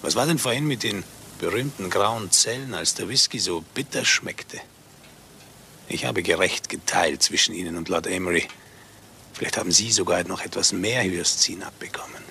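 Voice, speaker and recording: An actor playing a polite, cultured gentleman murderer in a German radio crime drama. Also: low voice, normal speed, creaky, a little hiss or hum, heavily acted. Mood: smug, gloating.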